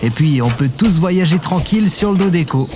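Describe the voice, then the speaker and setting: A man's voice: on the high side, animated, moderate pace, music in the background, lightly acted. An actor playing a confident, good-natured young male quest leader in a French audio adventure, casual in manner. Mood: cocky.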